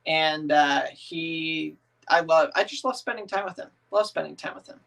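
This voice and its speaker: A man's voice: on the high side, moderate pace, gravelly, a bit bright. A man in his thirties from the northern United States, answering viewer comments in a loose, rambling, easygoing way with plenty of filler words. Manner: rambling, easygoing, many "um"s and restarts; reads viewer comments aloud and answers them. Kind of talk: casual livestream chat.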